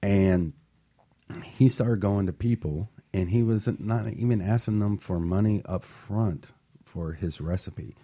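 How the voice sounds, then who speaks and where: A male talk show host with a very low voice. He tells stories in a loose, chatty way and keeps asking "right?" to check in. His tone is a little husky. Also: unhurried, warm tone. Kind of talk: casual talk show storytelling.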